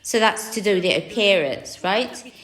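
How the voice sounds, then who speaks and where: A woman speaks as a panelist at a business conference. Her voice sits at a middling pitch, and she talks in an easygoing, conversational way, leaning on fillers like "like" and "kind of." Her delivery is relaxed and unscripted. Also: normal speed, reverberant. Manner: loose conversational, full of "like" and "kind of". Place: conference stage.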